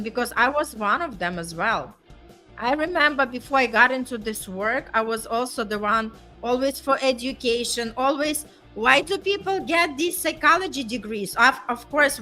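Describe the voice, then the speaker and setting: Woman, slightly high, bright tone, earnest encouraging talker; faint music underneath. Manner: earnest, encouraging, tags with "right?". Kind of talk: casual motivational chat.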